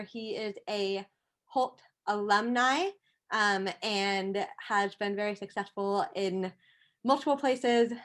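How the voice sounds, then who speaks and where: A woman hosting an event, introducing a guest speaker slowly and with a few hesitant ums. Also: a little high. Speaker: event host.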